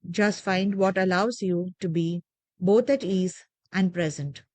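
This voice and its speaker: A woman leading a guided meditation with gentle, measured, soothing instructions and deliberate pauses. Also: lowish voice, no room sound.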